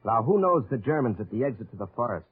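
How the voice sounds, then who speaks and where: An actor playing a resolute American captain on a secret mission, with an American accent, in old-time radio drama style. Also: male voice, mid-range voice, very animated, fast, breathy edge. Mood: urgent, resolute. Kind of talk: 1940s-50s radio drama acting with first-person narration. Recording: muffled old radio broadcast recording.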